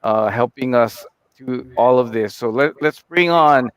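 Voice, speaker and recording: A man's voice: medium pitch, a little slow, a bit bright, smooth. A man who heads the organization, chatting casually on a live talk show, cracking jokes and restarting his sentences with fillers. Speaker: head of the organization. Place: live broadcast studio.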